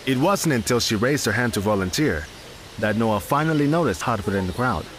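A man giving scripted narration for an audio drama in an even storytelling manner. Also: medium pitch, brisk.

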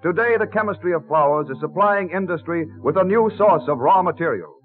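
A man's voice: a little high, lively intonation, fairly quick. A man announcing a scripted 1930s radio narration in a formal, declamatory manner. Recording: muffled old radio recording. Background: music.

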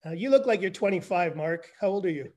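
A man's voice: sits high, expressive, quick pace, darkish tone. A man in his fifties, a forensic evaluator, talking in a chatty, self-deprecating way on a video call. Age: 50s. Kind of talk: casual conversation.

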